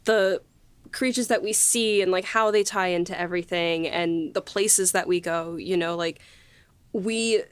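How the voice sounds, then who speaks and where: A woman hosting a casual behind-the-scenes chat, gushing in a halting, conversational way. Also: on the low side, clear, resonant tone, no echo. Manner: gushing, halting, peppered with 'like'. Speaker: podcast host and series writer.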